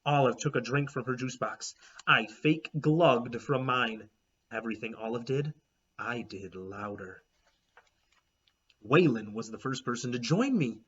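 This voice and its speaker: A man, a teacher, reading a children's chapter book aloud with expressive, animated storytelling. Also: medium pitch, unhurried, husky. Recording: dull lo-fi recording.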